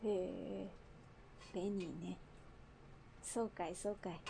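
A woman's voice: fairly low pitch, steady tone, slow, creaky, mellow, slight background noise, heavily acted. A woman acting in a radio drama as a brash underworld gang boss, with blunt, mannish, teasing speech. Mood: musing.

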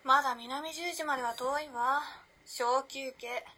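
Woman acting a high, husky modern girl. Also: fairly even intonation, unhurried, bright, forceful tone, heavily acted. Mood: teasing, cool. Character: self-possessed, teasing modern-girl amateur storyteller. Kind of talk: radio drama acting.

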